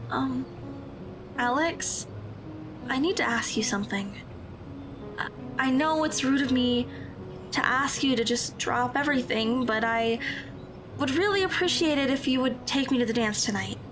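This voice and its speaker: A woman's voice: sits high, noisy background. A woman playing an awkward, earnest teenage schoolgirl in an audio drama, speaking hesitantly with rather formal wording. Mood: nervous.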